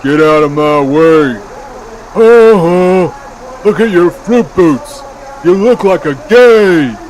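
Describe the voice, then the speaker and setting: A man's voice: high-pitched, expressive, slow pace, a little mellow, voice pushed up, low-quality recording. A man voicing a big fat hairy bear who drives a truck, belligerent and taunting, in a children's storybook reading. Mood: aggressive shouting.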